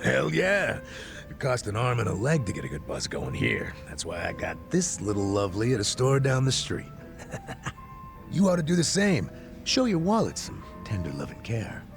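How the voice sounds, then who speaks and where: An actor with an American accent playing a gruff, boisterous, good-humored older ex-cop in a video game, with brash, colloquial delivery. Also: male voice, medium pitch, sing-song, moderate pace, music in the background. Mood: teasing, bluffing. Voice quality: gravelly. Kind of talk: dubbed video game cutscene acting.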